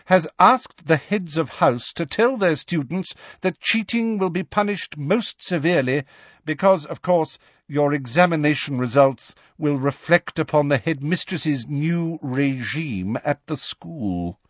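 A man's voice: on the high side, expressive, a little slow, dry recording, muffled recording, voice pushed up. A man voicing a stern, prim older female schoolteacher with a formal, clipped, admonishing manner in an audiobook. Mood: severe, admonishing.

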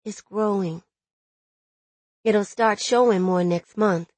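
A woman voicing a loving, steadfast young woman in an audiobook, speaking in a gentle, earnest and reassuring way. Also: medium pitch, takes it slowly, no echo. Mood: tender, earnest. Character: loving, steadfast pregnant young woman soothing her jailed lover. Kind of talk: dramatic audiobook character dialogue.

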